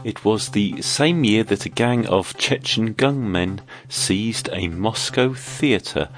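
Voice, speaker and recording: A man with a cold and a croaky voice, hosting a quiz and reading out the questions clearly. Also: lowish voice, takes it slowly. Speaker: quiz host.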